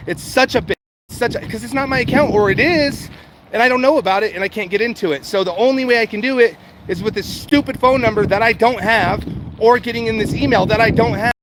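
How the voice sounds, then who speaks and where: A man's voice: extremely high pitch, brisk. A man chatting casually and informally to viewers as a livestream host, swearing now and then and addressing them directly. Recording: noisy outdoor street and vehicle background.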